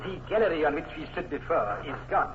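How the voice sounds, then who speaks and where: A coldly logical Prussian officer, played by a man, speaks in precise, formal sentences, sure the siege is lost. His voice sits a little high. The old radio recording is muffled and full of hiss. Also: lively intonation, close to an everyday voice. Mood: grim. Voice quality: precise, formal, deliberate phrasing. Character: stern Prussian colonel, coldly logical, fatalistic. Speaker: Prussian colonel. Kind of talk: 1950s radio drama acting.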